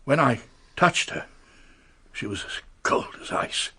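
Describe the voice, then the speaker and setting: Casting: nervous upper-class English knight, radio drama, husky mid-range voice, hesitant, lively intonation. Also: male voice, mid-range voice, unhurried, heavily acted. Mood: shaken, hesitant. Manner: hesitant, stammering, polite. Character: anxious titled English gentleman confessing under questioning. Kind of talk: British radio detective drama acting.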